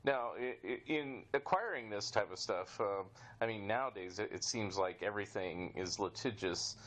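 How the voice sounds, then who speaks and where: A man's voice: fairly low pitch, normal speed, a bit bright. Easygoing man hosting a casual interview. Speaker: podcast host, interviewer.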